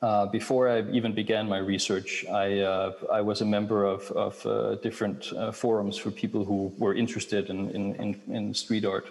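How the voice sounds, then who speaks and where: Low, flat-voiced man in an echoing hall. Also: gravelly. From Denmark. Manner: measured, hesitant with frequent fillers and restarts. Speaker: keynote speaker, researcher. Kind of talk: academic lecture and Q&A answer. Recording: long hall reverb.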